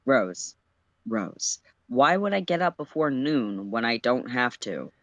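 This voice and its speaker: A flippant, wisecracking teenage boy, acted by a man in a story reading. His delivery is very animated in pitch and dodges with slangy teasing. The sound is dry, with no room around it. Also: takes it slowly, no echo, muffled recording.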